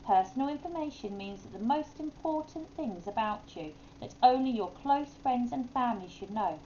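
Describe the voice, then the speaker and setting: A woman voicing a kindly, patient goose schoolteacher in a storybook, explaining things in a measured way. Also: fairly high pitch, expressive, warm tone, muffled recording, slight background noise, pitched above the performer's own voice, natural voice.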